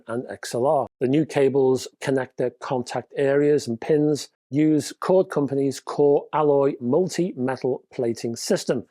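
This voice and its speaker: Chatty man with a mellow voice. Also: a little high, no echo. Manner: chatty, lively asides and chuckles. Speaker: hi-fi news presenter. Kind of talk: casual news roundup to camera.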